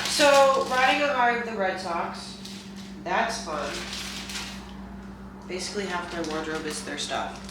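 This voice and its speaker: A young woman with a stuffed-up nose chatting casually and rambling to her vlog viewers in a self-deprecating way. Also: lowish voice. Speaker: vlogger. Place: indoors at home, in a kitchen. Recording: echoey room, distant mic, loud noise.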